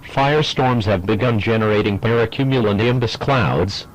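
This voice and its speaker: A man voicing a stern official emergency alert announcer, reading a formal scripted warning in a measured, impersonal manner. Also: on the low side, natural voice, poor audio.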